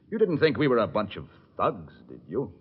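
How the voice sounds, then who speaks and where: An actor playing a ruthless traitor nephew in a radio drama, commanding and mock-polite. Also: male voice, fairly low pitch, huge pitch swings, moderate pace, husky, full character voice. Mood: smug, mock-polite. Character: smooth-talking, ruthless traitorous nephew holding a gun. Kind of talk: old-time radio drama acting. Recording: muffled old radio recording.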